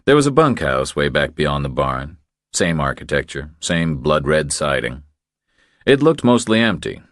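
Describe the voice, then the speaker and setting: A man narrating a thriller audiobook in a measured, terse, deadpan way. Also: very deep voice, no room sound, studio quality.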